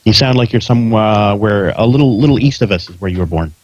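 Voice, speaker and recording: A man's voice: fairly low pitch, lively intonation, fairly quick, a little mellow, dry recording, dull audio. A middle-aged American man chatting casually as a podcast host before the show. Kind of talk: casual pre-show chat.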